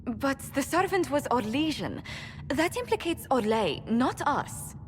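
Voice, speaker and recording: Reverberant, poised diplomat. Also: female voice, high voice, sing-song, a little slow. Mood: insistent. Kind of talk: dramatic video game acting. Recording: reverberant hall, clear background noise.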